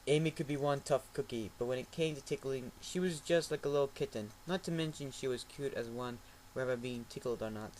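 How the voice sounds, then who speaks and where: A man reading a fan story aloud in a mocking amateur dramatic reading, with ironic asides and shouted outbursts. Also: mid-range voice, a little fast, heavy background noise. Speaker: reader.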